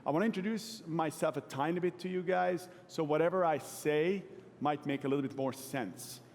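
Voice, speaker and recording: A man from Hungary in his forties, giving a relaxed, self-deprecating conference talk to a live audience. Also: on the high side, moderate pace, slight background noise. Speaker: keynote speaker. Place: large event hall. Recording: long hall reverb.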